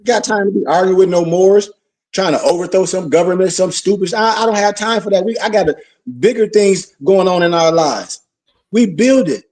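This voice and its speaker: A man's voice: high-pitched, moderate pace, a little mellow, distant mic. A man giving an informal religious lecture, preachy, full of rhetorical questions and call-and-response. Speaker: religious teacher.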